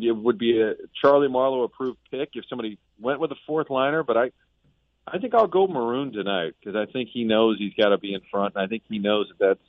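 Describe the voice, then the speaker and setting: A man's voice: medium pitch, dry recording. A man, a sports reporter calling in from a boat, talking through a game preview in casual conversation. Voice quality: conversational, analytical. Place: on a boat in a harbor. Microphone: phone line. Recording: tinny phone line.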